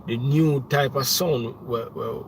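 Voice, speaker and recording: A man's voice: medium pitch, takes it slowly, slight background noise, rough recording. A young Jamaican man, a music producer, talking casually on a livestream, rambling with many restarts and "you know what I mean.".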